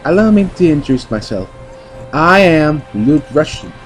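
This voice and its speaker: A man's voice: on the high side, big pitch swings, moderate pace, faint music behind. A man playing a confident, kindly champion sailor, earnest and encouraging, in an amateur audio drama. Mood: relieved, proud.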